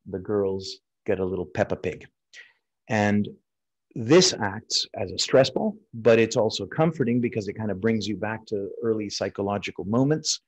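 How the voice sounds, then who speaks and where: Slightly husky American man giving a lecture. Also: fairly low pitch, darkish tone, muffled recording. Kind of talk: informal explanatory lecture. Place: indoors, on a video call over slides.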